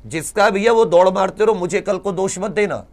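A man's voice: high voice, brisk. A man. He lectures informally like a coaching teacher, sounding exasperated. He often says a point twice and throws rhetorical questions at his students. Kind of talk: informal lecture explaining exam cut-offs to students. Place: indoor teaching studio at a large digital board. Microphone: lapel mic.

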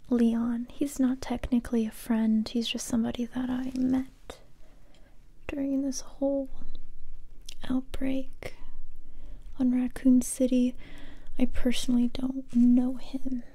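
A young woman playing a determined survivor interrogating a captive in a slow, breathy ASMR whisper, softly stern and close to the microphone. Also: high-pitched, little pitch movement, heavily acted. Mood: hushed, matter-of-fact. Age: young adult. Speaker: ASMR performer.

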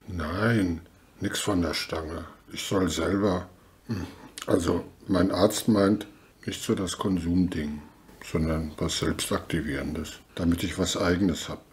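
A man plays a downcast, grumbling customer in a radio play. His very low voice moves slowly and hesitantly but with wide swings in tone, over clearly audible background noise. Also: steady tone. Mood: dejected. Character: gloomy, hesitant male customer who lost his laughter. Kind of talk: mini radio play dialogue in German.

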